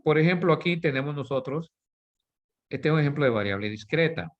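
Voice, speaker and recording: A man's voice: a little high, expressive, a little fast. A man giving a recorded statistics lesson, explaining with everyday examples, correcting himself now and then, and asking his students "right?" after nearly every point. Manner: asks "¿sí?" and "¿verdad?" constantly. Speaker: teacher. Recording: slightly dull lo-fi recording.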